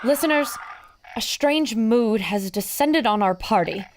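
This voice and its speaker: An actress playing a plucky, determined war correspondent, earnest and expressive, narrating her adventure in an audio drama. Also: on the high side, unhurried, noisy background. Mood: troubled.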